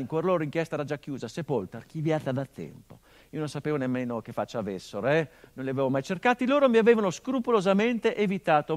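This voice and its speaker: A middle-aged actor playing the grieving son of a murdered journalist in a theatrical monologue, brooding and bitter, moving between hushed and impassioned delivery. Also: male voice, on the high side. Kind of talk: theatrical monologue broadcast on radio.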